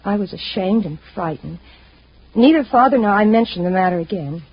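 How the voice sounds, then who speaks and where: A woman's voice: mid-range voice, expressive, a little fast, slightly rough, no echo. An actress playing an anxious, earnest young woman in distress, pleading and hesitant, in 1950s radio drama style. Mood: confiding, troubled. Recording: muffled vintage radio recording.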